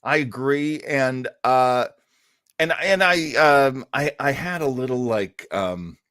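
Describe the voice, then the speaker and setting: A man's voice: mid-range voice, slow pace, a bit bright, clean tone, no room sound, clean studio sound. A man hosting a casual live online show, chatting in a rambling, jokey, emphatic way as he makes announcements.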